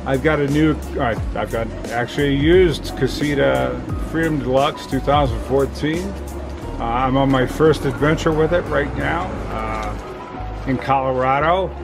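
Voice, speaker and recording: An older man outdoors at a campground, giving a hesitant casual testimonial full of uhs. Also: mid-range voice. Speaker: campground guest.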